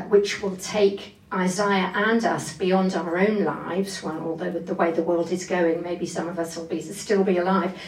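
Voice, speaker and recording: A woman's voice: on the low side, darkish tone. Woman preaching in an echoey room, distantly miked. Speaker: preacher giving a Bible talk. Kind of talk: expository sermon on scripture.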